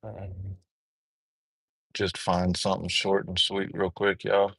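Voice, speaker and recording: A man's voice: low-pitched, completely flat delivery, takes it slowly, creaky, fairly bright tone, husky, no room sound. A young man from Alabama with a Southern accent, rambling casually to his viewers during a live stream. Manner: rambling, chatty, addresses viewers as gang. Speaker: livestreamer. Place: on the road and in a store.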